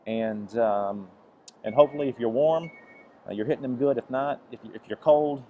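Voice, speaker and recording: A man's voice: mid-range voice, moderate pace, phone-quality sound, a little hiss or hum. A man recovering from the flu, teaching a golf lesson over swing footage, with frequent restarts and a repeated "okay". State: recovering from flu, voice deeper than usual. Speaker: golf instructor.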